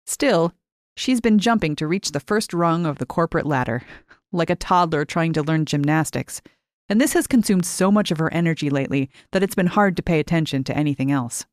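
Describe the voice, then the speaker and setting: A woman with a slightly low voice narrates fiction in a very dry recording. Her reading is expressive and scripted. She phrases deliberately, leaving dramatic pauses. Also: no echo. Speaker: short fiction reader.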